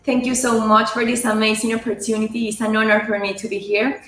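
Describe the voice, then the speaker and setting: A woman's voice: a little high, level tone, fast, a bit bright, slight background noise. Polite young Venezuelan woman, roomy sound. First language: Spanish. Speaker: pageant titleholder guest. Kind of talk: prepared self-introduction. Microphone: video call. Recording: roomy video call audio.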